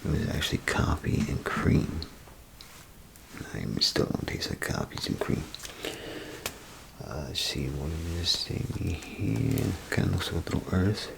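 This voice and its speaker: A man playing a chatty, folksy bathroom attendant in a soft ASMR role play, speaking in a gravelly, breathy half-whisper with rambling, hesitant phrasing. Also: very deep voice, animated, unhurried, heavily acted. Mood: chatty, explaining. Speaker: ASMR performer. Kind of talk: ASMR role play, softly spoken to the listener. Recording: close ASMR recording, clear background noise.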